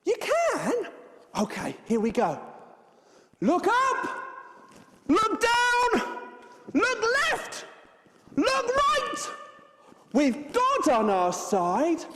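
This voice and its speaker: A woman playing an excitable, scatty, friendly adventurer in an interactive children's stage show, exaggerated and playful as she talks straight to the children. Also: sits high, slight background noise, heavily acted. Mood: encouraging, excited. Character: excitable, scatty, friendly adventurer setting off by hot air balloon. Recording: echoey hall with long reverb.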